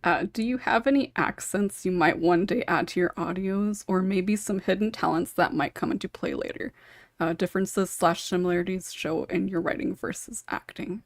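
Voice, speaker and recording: A woman's voice: fairly low pitch, normal speed, no echo. Woman hosting a podcast, dry close sound. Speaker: podcast host and moderator. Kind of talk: casual panel discussion hosting.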